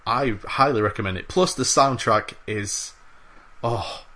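A man's voice: mid-range voice, a little slow, bright, forceful tone. A man co-hosting a casual games podcast, talking with enthusiasm, with lots of fillers and the odd swear word. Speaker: podcast co-host. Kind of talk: casual chat.

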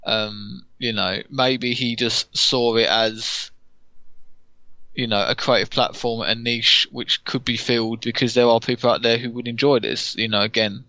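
A man's voice: medium pitch, steady tone, a little slow, pushed and bright, no room sound. A man co-hosting a casual podcast, earnest and repeating himself as he thinks. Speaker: podcast co-host. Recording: dull, dry close mic.

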